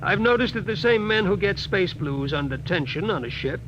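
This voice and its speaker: A skeptical ship's doctor speaks in a dry, wry way. His voice sits fairly high for a man. The vintage broadcast sounds muffled and hissy. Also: animated, normal speed. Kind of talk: 1950s radio drama acting.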